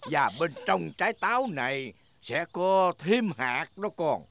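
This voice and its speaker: A man's voice: a little high, very animated, a little slow, creaky, very noisy, exaggerated voice. A man voicing a jolly, patient old grandpa pig who explains kindly in a dubbed preschool cartoon. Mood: kindly explaining. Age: older character. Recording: music under the voice.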